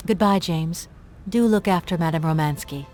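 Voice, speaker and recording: A woman's voice: on the low side, normal speed, a little mellow, close to an everyday voice. An actress playing an earnest young professional woman, courteous and businesslike, in a video game. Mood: polite, friendly.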